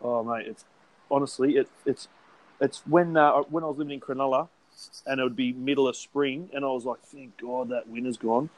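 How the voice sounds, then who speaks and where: A man's voice: mid-range voice, steady tone, moderate pace, poor audio. An Australian man hosting a casual chat, blokey and talkative, swearing offhandedly and often laughing while he talks.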